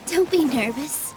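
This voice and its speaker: An actress playing a kind, cheerful young dancer in an anime game, speaking in a gentle, encouraging manner. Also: female voice, high-pitched, exaggerated voice.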